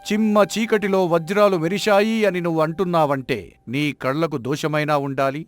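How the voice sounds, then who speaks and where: A man playing a wise blind king in a folk tale, questioning deliberately with pointed sarcasm. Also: a little high, fairly even intonation, faint music behind, natural voice. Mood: sarcastic, accusing. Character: wise blind king, shrewd and righteous father. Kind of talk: dramatised Telugu folk tale acting.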